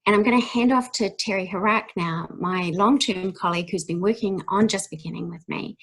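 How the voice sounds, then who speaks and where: A woman from New Zealand with a New Zealand accent, giving a measured academic webinar talk as a child development researcher. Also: fairly low pitch, a bit bright, breathy edge, low-quality recording. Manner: measured academic, with frequent ums. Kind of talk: webinar presentation.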